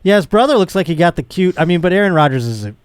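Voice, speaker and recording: A man's voice: high voice, animated, very fast, clean tone, no room sound. A man in his late thirties hosting a casual comedic podcast, talking in a blunt, slangy way with some swearing. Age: late 30s. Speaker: talk show host.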